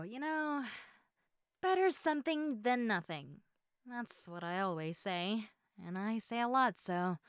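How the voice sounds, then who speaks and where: A woman performs an eager, socially awkward young woman in an intimate roleplay audio. Her voice is high and swings widely in pitch as she chats haltingly and excitably to the listener. The sound is very dry, with no room around it. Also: dry recording.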